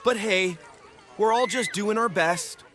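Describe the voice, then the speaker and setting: A woman's voice: mid-range voice, slow, a bit bright. A woman voicing an anxious, eager young cartoon bean in an exaggerated, hesitant children's read-aloud style. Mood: wistful, hopeful.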